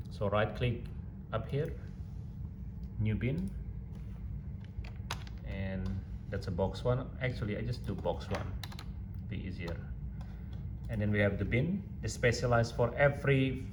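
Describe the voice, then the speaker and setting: Man giving tutorial in echoey, noisy room. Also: mid-range voice. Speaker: instructor demonstrating audio digitisation software. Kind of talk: step-by-step software tutorial, informal. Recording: echoey room, clear background noise.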